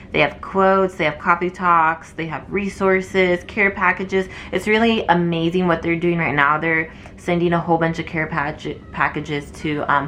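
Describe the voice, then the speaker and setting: A woman's voice: deep voice, pushed and bright, slight background noise. A young woman, a nursing student, chatting casually to camera with lots of fillers, her voice wearing thin from talking. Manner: chatty and rambling, many fillers. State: losing her voice.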